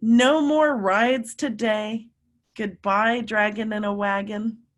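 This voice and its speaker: Woman reading as a cautious storybook girl, high voice. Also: fairly even intonation, slow pace. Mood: firm.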